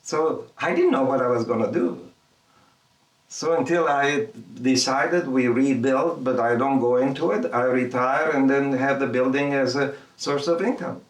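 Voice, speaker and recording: Older male artist from Egypt, unhurried and halting, doubling back on his words; recorded in a roomy space with the mic at a distance. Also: mid-range voice. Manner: halting, repeats words, thinking aloud. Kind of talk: reflective documentary interview.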